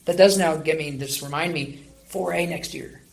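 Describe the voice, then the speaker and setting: A young man in his late teens hosts a casual interview show. His voice has noticeable fry, and he rambles along in an encouraging tone. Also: on the high side, moderate pace. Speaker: podcast host.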